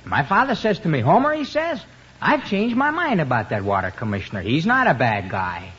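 A male performer playing an earnest, polite, flattering young boy in a 1950s radio comedy. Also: on the high side, a little fast. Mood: earnest, buttering up. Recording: muffled, faintly noisy old radio recording.

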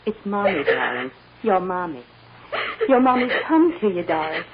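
Loving, anguished mother: tender and dramatic, widely varied pitch, noisy vintage radio drama. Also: sing-song, moderate pace. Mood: tender, soothing. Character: loving, anguished mother soothing her lost child. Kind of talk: 1940s-50s radio melodrama acting. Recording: muffled, noisy old radio recording.

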